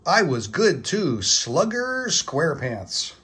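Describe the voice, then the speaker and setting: A man's voice: a little high, huge pitch swings, slow, a bit bright, breathy edge, voice pushed up, exaggerated voice. A man voicing an eager, cheerful young cartoon sponge boy from a children's storybook, enthusiastic and full of exclamations.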